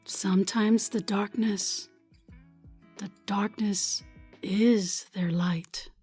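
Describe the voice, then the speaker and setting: A woman playing a stern, world-weary grandmother shopkeeper in an audio drama, with a husky, breathy voice. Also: mid-range voice, steady tone, extremely slow, warm tone, soft background music. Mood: grave, resigned.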